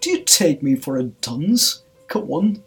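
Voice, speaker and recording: A woman voicing a short-tempered, blustering male king in a storybook, sharp and imperious as he commands. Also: sits high, very animated, slow, faint music behind, voice pushed up, exaggerated voice. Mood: angry, sharp.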